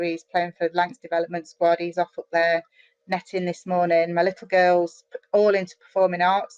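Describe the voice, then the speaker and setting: A middle-aged woman from the UK chatting as an interview guest, animated and full of quick restarts and fillers. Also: fairly low pitch, smooth, no room sound, dull audio. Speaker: guest, online marketer. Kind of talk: casual interview chat. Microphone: video call.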